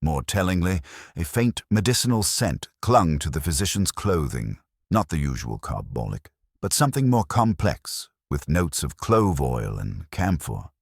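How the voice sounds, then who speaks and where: Very deep-voiced male mystery narrator. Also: sing-song, gravelly, husky, dry recording, studio quality. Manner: measured, dramatic.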